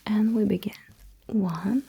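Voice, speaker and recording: A woman whispering breathily in an ASMR roleplay as a sci-fi technician, giving soft, reassuring instructions close to the microphone. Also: medium pitch, fairly even intonation, slow pace, vocal fry, husky.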